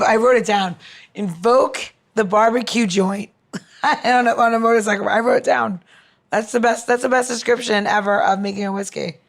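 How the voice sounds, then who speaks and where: A woman with a mid-pitched voice chats as a podcast co-host. Her way of talking is informal and unfiltered, full of casual slang. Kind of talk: casual chat.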